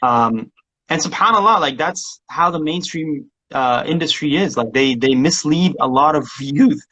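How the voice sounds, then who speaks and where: A man from Somalia talks casually as a guest producer, tripping over restarts and often laughing. His voice sits a little high for a man, and the recording is dull and lo-fi. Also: expressive, a little slow, sharp tone, slightly rough. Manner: rambling with many restarts and laughs. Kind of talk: casual interview chat.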